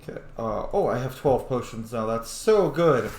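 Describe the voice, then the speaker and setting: A man's voice: medium pitch, animated, moderate pace, a little mellow. A man giving casual, sweary gameplay commentary, thinking aloud and roleplaying as he reacts to the game. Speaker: gamer, streamer. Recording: roomy, faintly noisy home recording.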